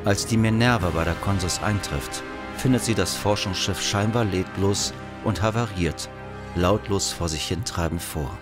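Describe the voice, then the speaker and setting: A man's voice: sits low, close to an everyday voice. A man as the solemn narrator of a science-fiction thriller radio play, reading scripted narration. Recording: music behind the voice.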